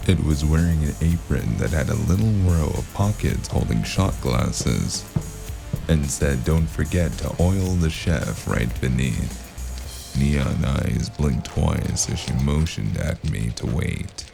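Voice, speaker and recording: An actor playing a world-weary, terse and wry hero in a noir audio drama, with brooding, laconic delivery. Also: male voice, a bass voice, expressive, takes it slowly, gravelly, music in the background, exaggerated voice. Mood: brooding narration. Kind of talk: noir first-person narration and dialogue.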